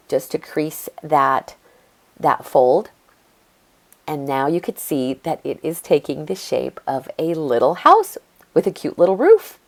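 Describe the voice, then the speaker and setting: Brief: middle-aged woman, low voice, stuffy-nosed, relaxed craft tutorial host talking while she works. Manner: chatty, easygoing, explains as she works. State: congested from allergies. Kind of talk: step-by-step craft tutorial voice-over.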